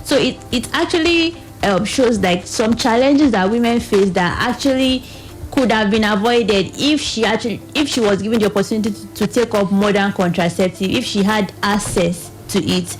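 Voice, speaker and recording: A woman's voice: medium pitch, moderate pace, soft background music, poor audio. A woman with a Nigerian accent explaining as a guest in a radio interview, somewhat hesitant. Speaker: reproductive health advocate, studio guest.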